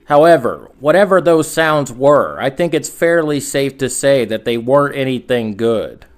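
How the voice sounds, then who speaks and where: A man narrating scripted horror commentary as a host, in a measured storytelling manner with rhetorical asides. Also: medium pitch, expressive. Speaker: video essay host and narrator.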